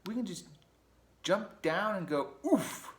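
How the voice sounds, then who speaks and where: A man's voice: sits high, expressive, takes it slowly, voice pushed up. A man voicing a cheery, pushy male pack rat neighbor in a breezy, carefree way. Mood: chirpy.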